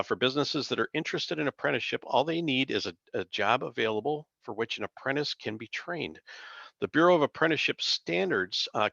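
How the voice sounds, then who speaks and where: A man with a low, bright-edged voice presents slides in a formal, read-out way, now and then stumbling and correcting himself. The sound is a dry, somewhat muffled conference-call recording. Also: vocal fry, no echo. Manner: formal, reading from slides with halting self-corrections. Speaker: workforce agency presenter. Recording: dull, lo-fi conference call audio.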